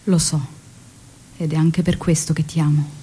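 A young revolutionary's wife in a radio drama. She is devoted and full of longing, with a fairly low, mellow voice that has a husky grain. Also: little pitch movement, normal speed. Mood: anxious longing. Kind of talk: theatrical radio drama monologue and dialogue.

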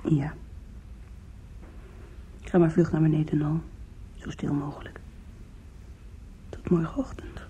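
An actress playing a frightened, fragile young woman in a radio drama, hushed and pleading. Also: low voice, little pitch movement, a little slow, pauses often. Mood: subdued. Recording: dull, echoey, noisy old radio recording.

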